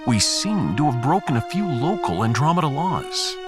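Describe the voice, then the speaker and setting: A man's voice: medium pitch, big pitch swings, moderate pace, over music, lightly acted. A man playing a blunt, sardonic human starship captain in a sci-fi audio drama, with a wry, theatrical delivery. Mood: grave log-keeping.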